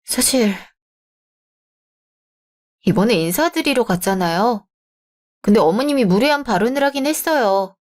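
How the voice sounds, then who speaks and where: A young bride-to-be, voiced by a woman in a radio drama, speaking in a raised, higher-pitched voice. She is polite and measured, but she holds her ground. She speaks her mind without raising her voice. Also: expressive, close to an everyday voice. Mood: troubled.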